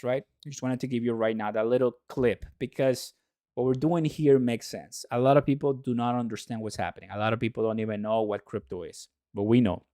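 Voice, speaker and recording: A man from Mexico, a native Spanish speaker with a Mexican accent, hosting a livestream in an excitable, hype-driven way and repeating phrases for emphasis. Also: medium pitch, no echo, clean studio sound. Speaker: livestream host. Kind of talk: excitable livestream commentary to viewers.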